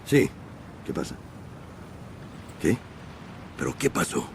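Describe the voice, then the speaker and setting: A gravelly gang boss with a Latin American Spanish accent. His pitch swings wildly, proud and foul-mouthed like a game villain, over loud rumbling noise. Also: big pitch swings, a little slow, exaggerated voice. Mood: menacing. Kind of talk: dramatic video game acting.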